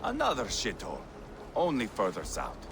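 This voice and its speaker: An actor playing a cruel, foul-mouthed, sneering Viking warrior with a gravelly voice in a video game cutscene. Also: male voice, lowish voice, wildly swooping pitch, normal speed, background noise, exaggerated voice. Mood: sardonic boredom. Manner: sneering, crude, taunting.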